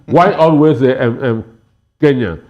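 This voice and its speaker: A man giving political commentary as a panel guest, halting and repeating words for emphasis, on dull lo-fi audio. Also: medium pitch.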